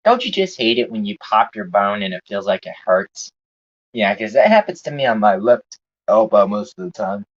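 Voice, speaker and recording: A man with a thick American Southern drawl chats loosely with his livestream viewers and swears now and then. His voice sits on the low side, and the recording sounds dull and lo-fi. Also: big pitch swings, normal speed. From Southern United States. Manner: rambling, laid-back, casual swearing. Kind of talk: casual livestream chat with viewers.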